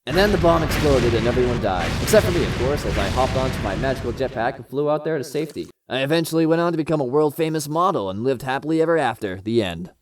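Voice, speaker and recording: A man's voice: mid-range voice, expressive, quick pace, few pauses, distant mic, close to an everyday voice. A man playing a smug, bragging smuggler. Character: vain, boastful young male smuggler. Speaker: narrator of the flashback. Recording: music behind.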